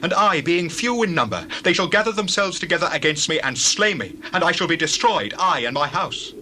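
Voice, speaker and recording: An actor playing a grave, troubled patriarch in a dramatized scripture reading, earnest and declamatory. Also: male voice, on the high side, lively intonation, fairly quick, bright, forceful tone, a little hiss or hum. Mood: troubled, reproachful.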